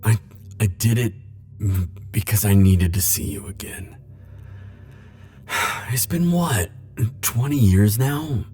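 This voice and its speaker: A man playing a defensive, lovelorn immortal in an ASMR roleplay, speaking hesitantly with stammers and halting phrases. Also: on the low side, dark tone, soft background music. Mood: confessional, yearning. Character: flirtatious, defensive male immortal pining for Grim Reaper. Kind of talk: ASMR roleplay monologue to the listener.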